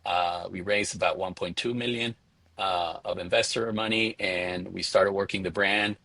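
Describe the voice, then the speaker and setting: Low-voiced middle-aged man, close and dry. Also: fairly even intonation, normal speed, bright, forceful tone, dry recording. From Venezuela. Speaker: interview guest, spirits entrepreneur. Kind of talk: conversational interview answers.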